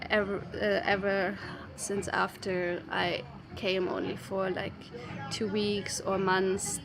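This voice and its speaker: A young woman from Germany, talking casually to camera about her life, with pauses and fillers. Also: mid-range voice. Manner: thoughtful, unhurried, with frequent pauses and fillers. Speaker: travel vlogger. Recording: music playing underneath.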